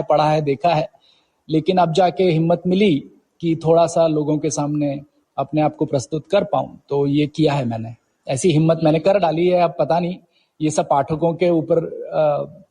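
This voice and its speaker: A middle-aged man from India with a slightly high, husky voice. He speaks as an interview guest, reflective and conversational, slipping English words into his sentences as he tells anecdotes. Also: dark tone. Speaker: guest poet and professor.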